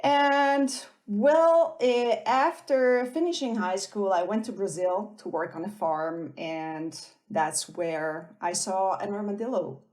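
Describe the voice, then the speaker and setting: A woman's voice: mid-range voice, a little mellow. A woman from Switzerland, a podcast guest telling personal stories conversationally in a light-hearted way. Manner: light-hearted, quick to laugh. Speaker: podcast guest, armadillo researcher.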